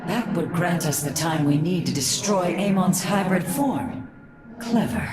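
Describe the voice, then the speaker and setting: Cool game commander: lowish female voice, composed, echoing under loud music. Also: lively intonation, measured pace. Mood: cool approval. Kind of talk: cinematic video game acting.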